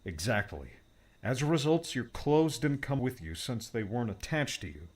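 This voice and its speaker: A man's voice: medium pitch, big pitch swings, normal speed, pitched above the performer's own voice. A man doing a cheerful tech mentor. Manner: cheerful, explaining. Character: upbeat older male tech mentor, reassuring. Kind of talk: audiobook character voice.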